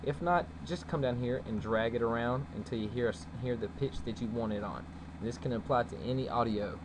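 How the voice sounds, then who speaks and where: A man giving a casual, folksy software tutorial as its host. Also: medium pitch, fairly quick. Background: background noise.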